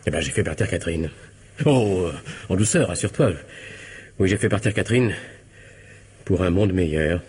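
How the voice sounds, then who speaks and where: Nervous married son, radio comedy drama, lowish voice, lively melodic delivery, hesitant, politely earnest. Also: male voice, normal speed, long pauses, slight background noise. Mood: sheepish confessing. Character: nervous, mild-mannered married son, matter-of-fact about murder. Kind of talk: French radio drama, theatrical comic dialogue.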